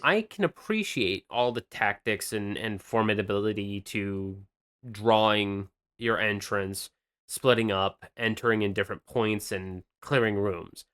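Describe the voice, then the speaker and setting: A man's voice: on the low side, measured pace, a bit bright, smooth. A man co-hosting a podcast, chatting casually about games and rambling with lots of fillers and restarts. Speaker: podcast co-host presenting game news.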